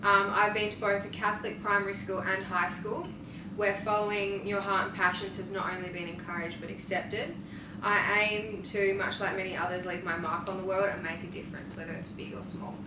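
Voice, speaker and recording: Late-teens Australian female student, mid-pitched, formal prepared answers, distant with noise. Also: level tone, brisk. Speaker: student panellist. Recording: echoey room, distant mic, clear noise.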